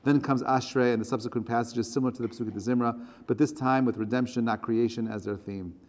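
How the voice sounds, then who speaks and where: A man's voice: mid-range voice, steady tone, quick pace, darkish tone. The man you would hear at an evening synagogue class, an earnest rabbi asking the listeners question after question, his words ringing on in the big room. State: voice strained, choking up. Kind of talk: religious lecture, conversational. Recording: reverberant hall.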